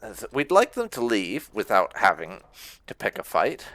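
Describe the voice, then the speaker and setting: A man's voice: fairly low pitch, wildly swooping pitch, moderate pace, fairly bright tone, dry recording. A man giving casual video game playthrough commentary, musing aloud as he goes. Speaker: gaming channel host. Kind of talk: casual playthrough commentary with role-played dialogue.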